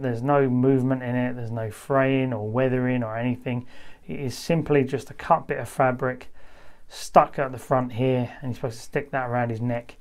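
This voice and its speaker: A man giving a casual, unscripted hands-on review commentary in an easygoing, chatty, conversational way. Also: medium pitch. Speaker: toy reviewer.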